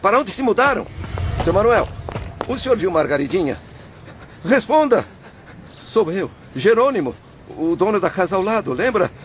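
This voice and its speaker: A man's voice: sits high, sing-song, moderate pace, long pauses, a little hiss or hum. Man acting an anguished, wildly swooping spirit father. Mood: desperate pleading. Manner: anguished, pleading, melodramatic. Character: distraught, indignant spirit of a dead merchant father. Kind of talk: dramatized audiobook acting. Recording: dull lo-fi audio under loud music.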